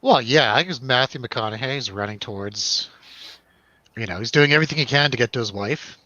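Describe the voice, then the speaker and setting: Bright-voiced man chatting about films. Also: medium pitch, lively intonation, a little fast, no echo, muffled recording. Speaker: film review show host. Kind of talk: casual film discussion with friends.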